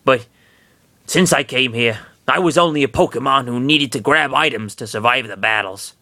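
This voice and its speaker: A man's voice: medium pitch, moderate pace, pushed and bright, no room sound. A man voicing a timid, small male electric-mouse creature in a comic reading of fan fiction, hesitant and stammering.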